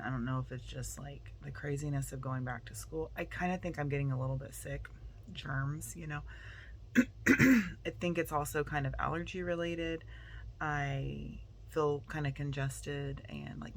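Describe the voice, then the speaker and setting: A woman's voice: low-pitched, a little hiss or hum. A middle-aged woman with a Southern US accent, chatting casually to camera as a vlogger, rambling with plenty of fillers. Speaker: vlogger and teacher. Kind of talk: casual vlog chat to camera. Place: outside by her car at school, then indoors at night.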